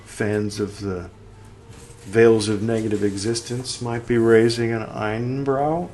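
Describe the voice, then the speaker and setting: A man hosting a podcast, reading a text aloud and speaking its punctuation, with quiet wry asides between passages. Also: lowish voice, fairly even intonation, a little hiss or hum. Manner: reads slowly, speaking the punctuation aloud and trailing into whispered asides.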